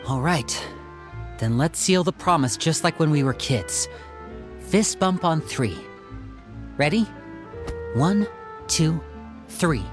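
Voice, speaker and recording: A man's voice: a little high, animated, slow, pauses often, over music. A man playing an earnest, protective young older brother in a dramatic game scene, articulate and courteous. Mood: businesslike, earnest.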